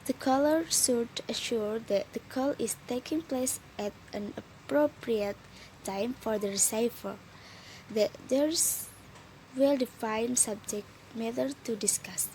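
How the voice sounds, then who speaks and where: A young woman reading a scripted lesson as a student presenter, in a careful, slightly halting way. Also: a little high, a little mellow, a little hiss or hum, low-quality recording. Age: young adult.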